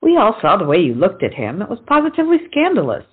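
Actress as outspoken best friend in a colonial comedy play, scolding, lively swoops, muffled recording. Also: female voice, medium pitch, quick pace, husky. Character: blunt, loyal young woman friend in Revolutionary War comedy. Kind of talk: stage comedy acting.

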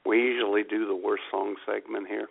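A man with a raspy, gravelly voice hosting a comedy radio show, talking casually and announcing with mock grandeur. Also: lowish voice, flat delivery, a little fast. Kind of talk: casual introduction of a comedy segment. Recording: thin phone-like band.

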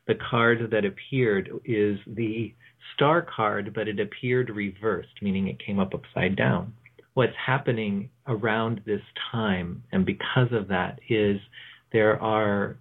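A man hosting a radio show, speaking in an unscripted, reflective monologue with measured phrasing and pauses mid-sentence. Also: on the low side, fairly even intonation. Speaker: tarot reader. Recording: thin phone-like audio.

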